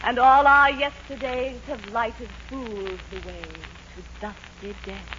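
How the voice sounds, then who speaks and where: Scheming theater diva, high voice, florid and gushing; 1940s radio comedy, dulled old recording. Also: female voice, steady tone, slow, a bit bright, dull audio, a little hiss or hum. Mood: grand, persuasive. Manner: grandiose, gushing, melodramatic. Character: scheming theatrical stage actress, gushing seductive flatterer.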